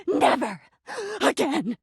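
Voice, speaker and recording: Very shrill, furious protector. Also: female voice, big pitch swings, slow, creaky, no echo, studio quality, full character voice. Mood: furious, distraught. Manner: shrill, fierce, threatening. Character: furious, protective female game character, fierce and shrill.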